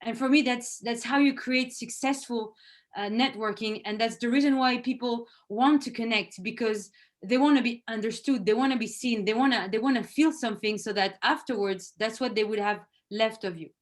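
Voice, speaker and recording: A woman's voice: a little high, normal speed. Earnest woman presenting over video call. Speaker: presenter, head of sales. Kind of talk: virtual presentation. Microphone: video call microphone.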